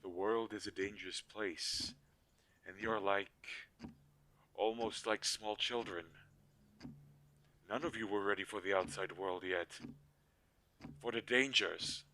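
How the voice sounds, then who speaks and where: A man acts out a weary inventor-mage in an audio roleplay. His voice sits low, with a bright, forceful edge. He speaks earnestly and protectively, pausing haltingly, over faint background noise on a low-quality recording. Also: steady tone. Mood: weary, hopeful. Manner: hesitant, earnest, halting pauses. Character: weary, protective male inventor-mage, creator of living dolls. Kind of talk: scripted audio roleplay drama.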